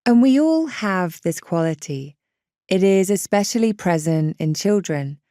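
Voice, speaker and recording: A woman guiding a meditation in a gentle, reassuring, unhurried manner. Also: medium pitch, expressive, dry recording, studio quality. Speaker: meditation guide. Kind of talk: guided meditation narration.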